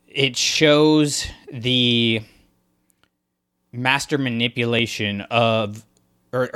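A man with a bright, forceful tone co-hosting a podcast in casual conversation. He talks at an average pitch, rambling and enthusiastic, stringing thoughts together with plenty of fillers. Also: smooth. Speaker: podcast co-host. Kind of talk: casual discussion.

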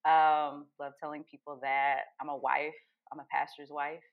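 A woman with a low-pitched voice talks as a podcast guest on slightly dull, muffled audio. She is a therapist with a casual, playful and informal way of speaking. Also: little pitch movement, moderate pace. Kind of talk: casual self-introduction.

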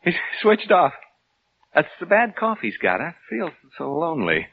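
A man's voice: a little high, huge pitch swings, normal speed, vocal fry, husky, phone-quality sound, heavily acted. An actor playing a frightened, lonely broadcaster who rambles and stammers to his listeners in an old radio horror drama. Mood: lonely, uneasy. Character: frightened lonely male radio broadcaster unravelling into giddy madness. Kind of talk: 1940s-style radio horror acting.

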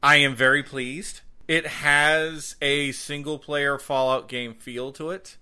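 Bright, punchy man podcasting about games. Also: fairly high pitch, takes it slowly, clean tone. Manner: excitable, slangy, emphatic with repeated words. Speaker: podcast host and game streamer. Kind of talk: casual gaming news podcast chat.

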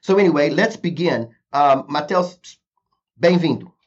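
A man's voice: a little high, unhurried, slightly rough, lo-fi sound. A man hosting a podcast in casual chat, rambling with many fillers and restarts. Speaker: podcast host.

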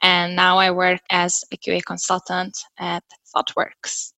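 A woman's voice: on the low side, completely flat delivery, unhurried, creaky, bright, forceful tone, no room sound, poor audio. A woman with a Lithuanian accent speaking earnestly and conversationally as an interview guest. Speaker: interview guest, tech consultant.

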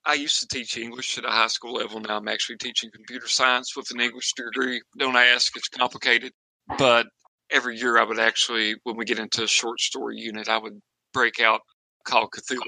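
Bright, gravelly male podcast host. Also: mid-range voice, flat delivery, brisk, rough recording. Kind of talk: casual interview hosting.

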